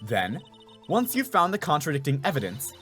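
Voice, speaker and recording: A man's voice: a little high, huge pitch swings, moderate pace, a bit bright. Guy doing a confident lawyer mentor in a game, explaining firmly, faint music. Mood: encouraging. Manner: instructive, emphatic. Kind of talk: dramatic video game dubbing.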